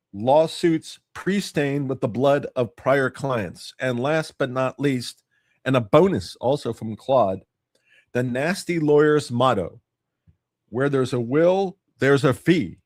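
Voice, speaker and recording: A man talking in a stuffy, nasal voice, blocked up from allergies. He rambles and corrects himself as he hosts a casual legal news show, and he reads jokes out dryly. His voice sits at an ordinary pitch, and the sound is close and dry. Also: slow, no room sound. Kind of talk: casual solo commentary.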